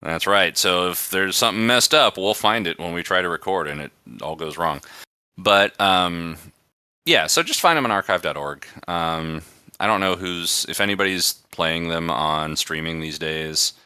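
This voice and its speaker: A man with a very low voice and a strikingly bright, forceful edge hosts a casual podcast. He talks in a loose, rambling way, and every word comes through crisp and close in a dry recording. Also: animated, moderate pace, no room sound. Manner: rambling, conversational. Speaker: podcast host. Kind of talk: casual chat.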